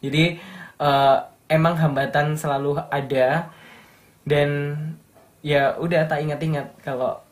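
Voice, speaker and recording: A man's voice: a little high, little pitch movement, slow, long pauses. A young man from Malang with a light Javanese accent, chatting as an interview guest about his radio work in an upbeat, motivational way. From Malang, East Java. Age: young adult. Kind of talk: casual interview chat.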